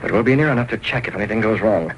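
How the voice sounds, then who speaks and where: A man's voice: on the low side, racing, lightly acted. Man acting composed radio vigilante, noisy old recording. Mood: brisk, commanding. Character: composed, commanding masked vigilante, male. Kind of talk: 1930s-40s radio drama acting.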